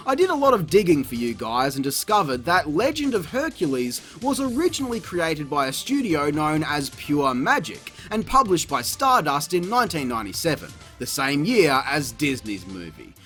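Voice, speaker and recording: Sarcastic, animated man reviewing cartoons. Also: fairly high pitch, normal speed, faint music behind. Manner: sarcastic, profane, exasperated. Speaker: video reviewer. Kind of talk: comedic review narration.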